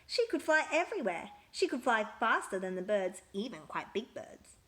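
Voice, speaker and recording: A woman reading a children's picture book aloud with expressive, emphatic storytelling. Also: on the high side, normal speed.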